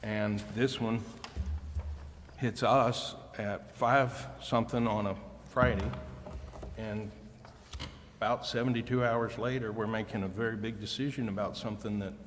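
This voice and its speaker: Low-voiced, halting man in reverberant hall. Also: steady tone. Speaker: city council member. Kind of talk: public meeting remarks. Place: indoor council chambers. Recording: reverberant hall, faint background noise.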